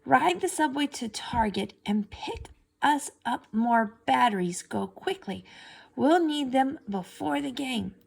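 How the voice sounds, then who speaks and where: A commanding storybook stepmother, as a woman reader puts her on. She is self-important and bossy, her pitch lifted a little above an everyday voice. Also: animated, takes it slowly, creaky, darkish tone, breathy edge, exaggerated voice. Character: evil stepmother, self-important middle-aged woman, bossy, doting on daughters.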